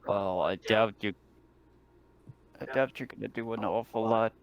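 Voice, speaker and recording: Man, lowish voice, casual chat, tabletop game player. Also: measured pace, vocal fry, dull audio, crowd noise.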